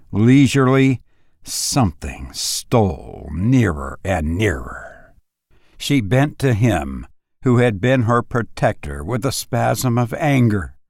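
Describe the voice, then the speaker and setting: A man narrating a literary horror story dramatically, in a grave, deliberate manner with drawn-out pauses. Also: medium pitch, a little mellow, no room sound, studio quality. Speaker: audiobook reader.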